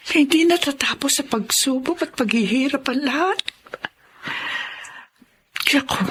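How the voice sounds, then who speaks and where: Husky, lively Filipino mother. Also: fairly high pitch, heavily acted. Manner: motherly, halting, earnest. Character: caring, faithful middle-aged Filipino mother consoling her daughter. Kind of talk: Filipino radio drama dialogue.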